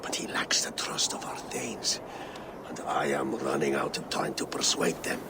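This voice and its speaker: An actor playing an aging, ailing Viking jarl in a video game, a proud father and clan leader with a gravelly, breathy voice. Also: rumbling low, big pitch swings, moderate pace, in a whisper, full character voice. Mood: weary, confiding. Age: older. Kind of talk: dramatic video game acting.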